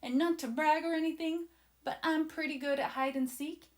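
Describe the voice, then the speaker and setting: A woman voicing an excited, adventurous young boy who eagerly and dramatically narrates a children's storybook to his listeners. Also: high-pitched, moderate pace, voice pushed up, close to an everyday voice. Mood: excited storytelling. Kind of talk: children's storybook read-aloud.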